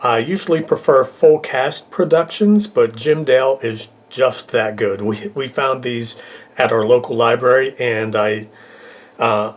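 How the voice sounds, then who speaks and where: Middle-aged man hosting a casual countdown. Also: mid-range voice. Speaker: vlog host, reviewer. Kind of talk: casual top-ten countdown review.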